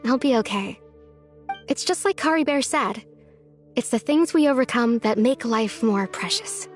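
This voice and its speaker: High-voiced, wistful young game heroine. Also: female voice, animated, measured pace, soft background music. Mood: bravely reassuring. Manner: soft-hearted, wistful, earnest. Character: gentle young woman, wistful but putting on bravery. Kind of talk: anime-style video game voice acting.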